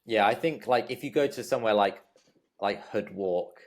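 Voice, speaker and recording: Low-pitched Englishman, casual and hesitant. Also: moderate pace. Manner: hesitant, repeats "like". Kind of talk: casual banter chat.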